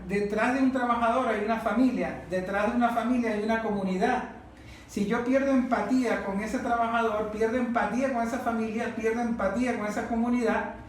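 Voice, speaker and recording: The speaker has a Venezuelan accent and leads a political discussion with emphatic, didactic delivery, repeating phrases to drive points home. The recording is heavily reverberant, with faint noise underneath. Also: medium pitch. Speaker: discussion leader. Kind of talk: impassioned political talk to a group. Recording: very echoey room, faint noise.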